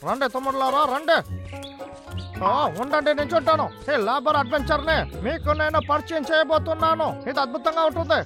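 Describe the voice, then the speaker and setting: A man's voice: extremely high pitch, fairly even intonation, brisk. A man voicing a scheming logger villain in a cartoon, posing as a tour guide with wheedling, boastful patter. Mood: boastful, inviting. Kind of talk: exaggerated cartoon dub acting. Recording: music behind the voice.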